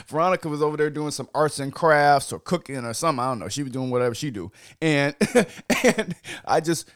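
A young man hosting a casual wine-tasting podcast, hoarse from yelling, talking loosely with lots of fillers and swearing. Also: on the high side, normal speed, no echo, studio quality. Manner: loose and chatty, many "like" fillers, swears freely. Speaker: podcast host.

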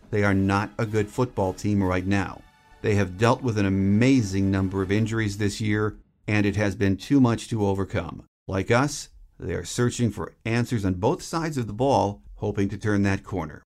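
An American man delivers scripted sports commentary as a podcast host. His voice sits fairly low, with faint music behind it. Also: darkish tone.

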